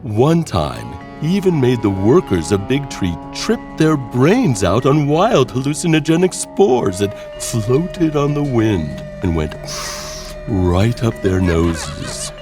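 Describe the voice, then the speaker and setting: A man narrating a scripted horror audio drama as a theatrical storyteller, with dramatic pauses. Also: medium pitch, big pitch swings. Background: music.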